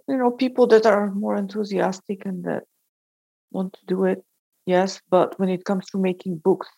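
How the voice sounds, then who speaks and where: A woman's voice: on the low side, gravelly, warm tone, husky, no echo, rough recording. Brief: woman teacher on a panel, thoughtful answers, close dry sound. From North Macedonia. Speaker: braille teacher.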